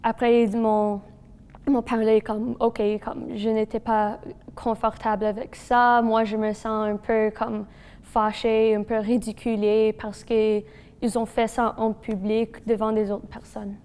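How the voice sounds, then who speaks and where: A woman's voice: fairly high pitch, a little slow, clean tone, big-room sound. A woman student with a French immersion accent, speaking hesitantly and filling with "comme". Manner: hesitant, flat, uses many "comme" fillers. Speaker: university student. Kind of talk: testimonial talk.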